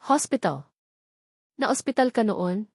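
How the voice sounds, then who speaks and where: A woman's voice: fairly high pitch, animated, measured pace, gravelly, slightly rough, no echo, clean studio sound, exaggerated voice. Woman voicing protective, wealthy Filipino man. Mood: concerned. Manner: short caring questions, mixes English and Tagalog. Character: wealthy man, protective, concerned, generous lover.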